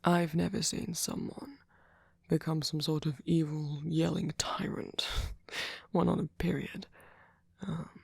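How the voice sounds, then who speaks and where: A man playing a sweet, gentle vampire boyfriend in an ASMR comfort roleplay, speaking haltingly in a breathy, husky whisper. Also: fairly high pitch, little pitch movement, no echo, clean studio sound, full character voice. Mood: soft, sympathetic.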